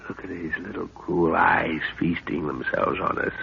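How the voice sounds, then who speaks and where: Gruff, deep-voiced expedition leader, creaky. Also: male voice, steady tone, moderate pace, sharp tone, heavily acted. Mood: tense, watchful. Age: middle-aged. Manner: gruff, authoritative, clipped orders. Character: commanding, vengeful father leading a jungle expedition. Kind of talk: 1930s radio adventure acting. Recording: muffled, noisy 1930s radio recording.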